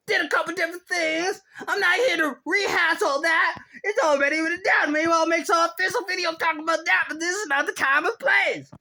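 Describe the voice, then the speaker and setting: A very high, bright, squeaky voice rants in a rambling vlog. The agitated complainer exclaims, repeats phrases and stretches words out. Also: male voice, full character voice. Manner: exclamatory, repetitive, drawn-out words. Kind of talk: rambling solo rant.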